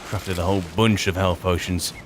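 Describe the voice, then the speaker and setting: A man's voice: sits low, steady tone, moderate pace, music in the background, close to an everyday voice. A man playing a wry, grumbling adventurer in a fantasy video game roleplay, musing to himself in a hesitant, politely ironic way. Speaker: roleplaying game player and narrator. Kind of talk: in-character improvised gameplay commentary.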